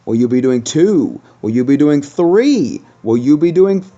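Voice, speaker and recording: A man's voice: fairly high pitch, lively intonation, measured pace, dark tone. A man hosting a casual game review, talking conversationally and thinking aloud as he goes. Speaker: gaming channel host. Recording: dull, lo-fi sound.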